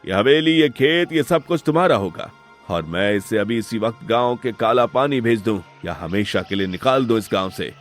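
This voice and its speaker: A man's voice: fairly low pitch, expressive, moderate pace, soft background music, natural voice. Man as stern, righteous village headman. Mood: fatherly, stern. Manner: commanding, emphatic, solemn. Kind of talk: melodramatic cartoon moral-story acting.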